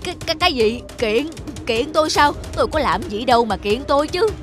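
A woman's voice: high-pitched, lively intonation, a little fast, music in the background. A woman voicing a gossipy, defensive male villager in a cartoon folk tale, insistent and blustering. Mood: indignant.

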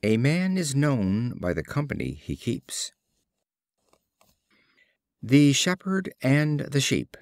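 A man narrating short fables as a scripted storybook reading, in a deliberate, expressive storytelling manner. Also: medium pitch, no room sound, studio quality. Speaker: audiobook narrator.

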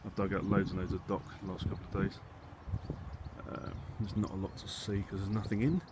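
A man giving a casual, low-key, slightly hesitant tour as a gardening vlogger, thinking aloud as he goes. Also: flat delivery, a little fast. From England. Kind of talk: casual rambling video tour. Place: outdoors on an allotment and in a polytunnel. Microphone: handheld phone or camera behind the lens. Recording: noisy handheld outdoor recording, wind and background rumble.

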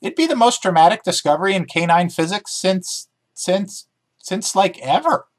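A man voicing an eager, scheming female pet dog who argues excitedly about physics in a storybook reading. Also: high voice, moderate pace, pitched above the performer's own voice. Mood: excited, boastful. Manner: excitable, argumentative.

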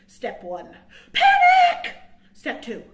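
A middle-aged woman reading a picture book aloud to young children with expressive, dramatic emphasis and chatty asides, as a storytime host. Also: very high voice, very slow. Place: small indoor room. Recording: roomy, echoing indoor sound.

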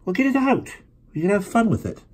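Man puts on a chatty doleful kid brother, pitched up, husky underneath. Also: big pitch swings, fairly quick, full character voice.

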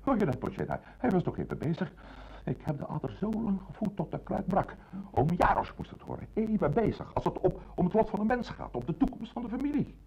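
A man's voice: sits high, big pitch swings, a little fast, vocal fry, breathy edge, heavily acted. An actor playing a pompous, hysterical family man in a radio play, rambling and self-pitying. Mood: despairing. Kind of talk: Dutch radio play acting. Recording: dull, muffled radio play recording.

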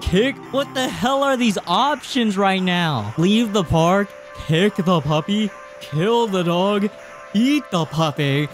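A man's voice: sits high, lively intonation, takes it slowly. A man in his twenties doing a let's play, reading game text aloud and reacting casually, sometimes stumbling over words, close on a desk mic. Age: 20s. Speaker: video game streamer. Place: small room indoors at a gaming setup. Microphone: close desk microphone, headset worn. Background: game music.

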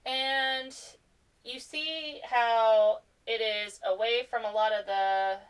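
A woman's voice: fairly high pitch, fairly even intonation, slow, clean tone, poor audio. A young American woman giving a casual, rambling, unscripted tutorial at home in an informal way. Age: young adult. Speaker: tutorial host, amateur fandubber. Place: cluttered room at home.

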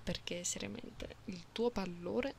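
A woman voicing a playful, caring young catgirl in an intimate, soft ASMR roleplay. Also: on the low side, creaky. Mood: gentle concern. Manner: soft, unhurried, intimate, sometimes whispery. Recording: binaural close mic, audible background noise.

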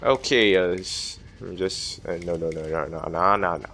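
A young adult man doing casual gaming commentary, rambling and halting, repeating words and swearing as he plays. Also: sits low, fairly bright tone. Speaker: gaming video host. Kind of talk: casual let's play commentary.

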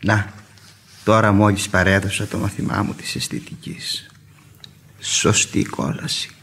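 An actor playing a gentle, wistful old schoolteacher and failed poet in a radio play, theatrical, with a creaky, raspy voice. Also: male voice, fairly low pitch, fairly even intonation, slight background noise. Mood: wistful, resigned. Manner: theatrical, declamatory. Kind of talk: classic radio theatre acting.

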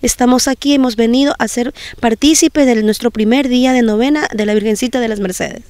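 Brief: middle-aged woman, community organizer interviewed on camera, smooth dry voice, earnest and halting. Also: fairly high pitch, darkish tone, dry recording. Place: outdoors at a military base event. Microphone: handheld reporter microphone.

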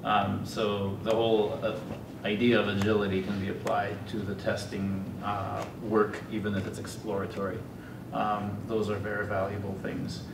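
Deep, even-toned man on a panel. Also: gravelly. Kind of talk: panel discussion. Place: hotel conference room. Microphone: distant room microphone. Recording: distant, echoey room recording with rumbling noise.

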